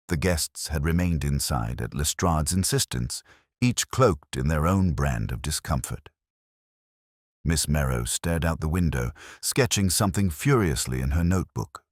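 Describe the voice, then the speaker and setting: Male audiobook narrator, extremely low pitch, scripted mystery storytelling, dry recording without room sound. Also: dry recording.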